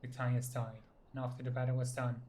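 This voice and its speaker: A man's voice: medium pitch, level tone, fairly quick, darkish tone, slight background noise. A man reading fantasy game lore and dialogue aloud as scripted narration. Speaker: game player narrating codex entries and dialogue choices.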